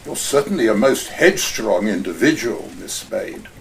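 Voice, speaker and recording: A man playing a smooth, scheming male crime boss in a community radio play, speaking in formal, elaborate phrases. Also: fairly low pitch, wildly swooping pitch, a little slow, recorded from a distance, slight background noise, full character voice. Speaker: actor in community audio drama.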